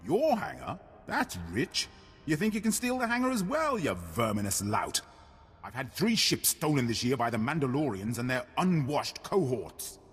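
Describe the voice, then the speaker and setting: An actor playing an outraged, scornful guard officer in a video game, pompous and sneering. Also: male voice, medium pitch, big pitch swings, moderate pace, slightly rough. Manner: pompous, sneering, barking insults. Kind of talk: scripted game character acting. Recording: long reverb, faint music behind.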